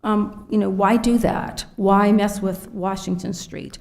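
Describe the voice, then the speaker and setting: A woman resident giving a prepared public comment at a city planning meeting, asking pointed questions in a measured, critical way. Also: medium pitch, fairly even intonation, gravelly, noisy background. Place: city council chambers.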